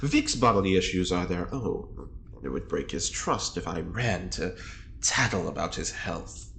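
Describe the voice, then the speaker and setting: Flamboyant flirty prisoner, low gravelly voice, swooping intonation, grand teasing phrases, audio drama. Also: expressive, measured pace, fairly bright tone, slight background noise, heavily acted. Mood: cool, bargaining. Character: theatrical, smug, flirty long-term prisoner, chess cheat.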